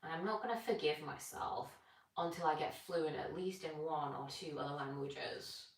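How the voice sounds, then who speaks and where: A woman's voice: sits low, normal speed. A young British woman chatting casually on a live stream while she stretches, rambling and answering comments. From Britain. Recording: echoey room, distant from mic.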